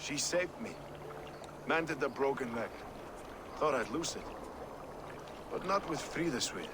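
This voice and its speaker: A crude, weary medieval townsman, played by a man in a game drama. His expressive voice sits at a middle pitch, with clear ambient noise behind it. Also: unhurried, lightly acted. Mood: resigned. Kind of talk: dramatic video game acting.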